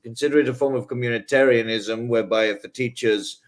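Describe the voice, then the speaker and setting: A man's voice: medium pitch, fairly even intonation, quick pace. A man with an English accent, talking as a guest in a casual interview, haughty and rambling, full of ums and rhetorical "right?". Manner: haughty airs. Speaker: guest author.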